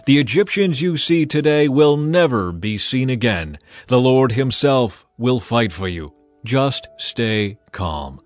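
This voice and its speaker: A steadfast Hebrew leader, played by a male reader in dramatized scripture audio. He sounds firm and reassuring at a middle pitch, with music in the background. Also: animated, takes it slowly, no room sound.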